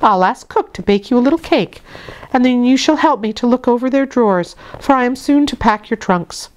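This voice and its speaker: A woman voicing a brisk young nursemaid from a storybook, cross but kindly, with a chiding, lilting manner. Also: on the high side, animated, voice pushed up, close to an everyday voice.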